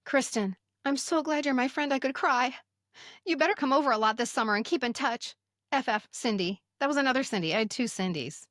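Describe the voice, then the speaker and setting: Middle aged american woman podcast host, higher voice, close dry sound, chatty and emphatic, repeats herself. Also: animated, fairly quick, fairly bright tone, dry recording. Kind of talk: casual chat with animated reading aloud of old notes.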